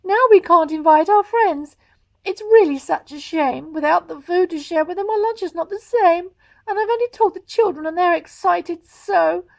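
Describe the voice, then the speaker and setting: A woman voicing an anxious, excitable fox wife in a storybook, exclaiming dramatically. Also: very high voice, moderate pace, creaky, dry recording, pitched above the performer's own voice. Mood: tearful, dismayed.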